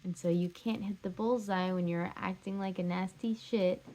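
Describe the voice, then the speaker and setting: A woman's voice: fairly low pitch, fairly even intonation, moderate pace, dark tone, lightly acted. A woman performing a stage drama role as a heartbroken, insistent jilted lover, pleading emphatically and repeating herself. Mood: tender, exasperated. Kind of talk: stage drama scene and monologue.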